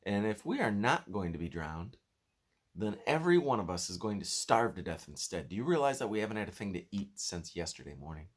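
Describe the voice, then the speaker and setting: A man's voice: fairly low pitch, very animated, fairly quick. Man voicing a gloomy, querulous blind earthworm. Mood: gloomy, scornful. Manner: complaining, snappish. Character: gloomy, self-pitying blind male earthworm, querulous and pessimistic.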